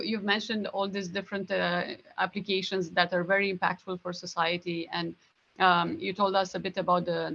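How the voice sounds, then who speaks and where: A woman moderates an online Q&A in a slightly low, creaky voice. She speaks haltingly with frequent pauses, and the call audio sounds a little dull. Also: fairly bright tone. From Romania. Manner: halting, pausing often mid-phrase. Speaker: session moderator. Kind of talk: informal Q&A moderating. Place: online Zoom conference.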